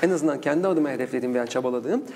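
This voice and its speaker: Fast-talking man in an echoey hall. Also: mid-range voice, background noise. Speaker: guest researcher of folklore and culture. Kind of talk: conversational interview explanation.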